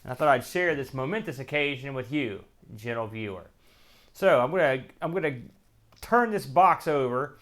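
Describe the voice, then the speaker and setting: Man, mid-pitched voice, casual show host, wry and joking, folksy slang, muttering his thoughts as he handles items. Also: animated, normal speed, a bit bright. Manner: thinks aloud while handling items. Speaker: host of a retro gaming show. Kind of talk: casual unboxing commentary.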